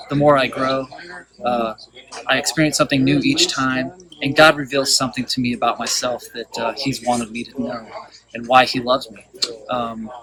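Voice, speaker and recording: A man's voice: mid-range voice, pushed and bright, rough recording. A man in his thirties from Colorado giving an earnest, heartfelt personal testimony about a men's retreat. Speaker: retreat participant.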